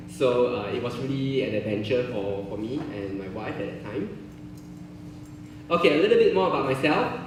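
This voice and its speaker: A man from Singapore, giving a friendly, halting conference talk full of filler "uh"s in a large conference hall. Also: medium pitch. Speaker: conference speaker. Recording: very echoey hall, from far, noisy.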